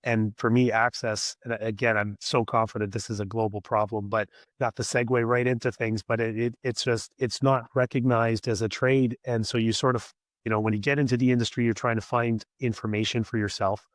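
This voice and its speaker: A Canadian man being interviewed on a podcast, raspy as he loses his voice, thinking aloud with repeated words. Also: medium pitch, flat delivery, brisk, no echo, clean studio sound. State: losing his voice. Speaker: podcast guest, scaffolding company executive. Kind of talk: conversational interview.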